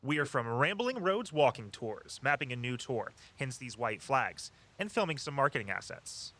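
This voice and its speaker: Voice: mid-range voice, sing-song, normal speed, bright, forceful tone, heavily acted. An actor playing an articulate, practical walking-tour guide with a dryly skeptical manner in an audio drama. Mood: matter-of-fact.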